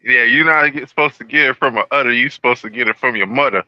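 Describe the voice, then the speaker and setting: A man's voice: medium pitch, fast talker, no room sound, dull audio. A man in his thirties chatting casually on a panel, joking and slangy. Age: 30s. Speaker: panelist on an open panel show. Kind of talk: casual chat.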